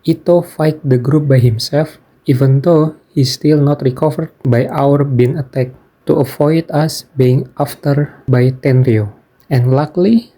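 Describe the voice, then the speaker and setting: A man's voice: on the high side, mellow. A man narrating a scripted story recap as a video game player, with non-native-sounding phrasing.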